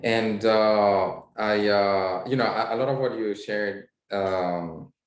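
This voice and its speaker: A man's voice: lowish voice, fairly even intonation, takes it slowly. A man from Spain with a Spanish accent, introducing himself on a video call panel, hesitant and full of fillers. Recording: echoey room.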